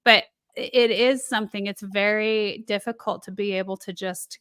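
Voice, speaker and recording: A woman hosting a podcast interview, affirming her guest with drawn-out phrasing. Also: mid-range voice, fairly even intonation, fairly bright tone, clear, resonant tone. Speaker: podcast host. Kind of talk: supportive interview chat.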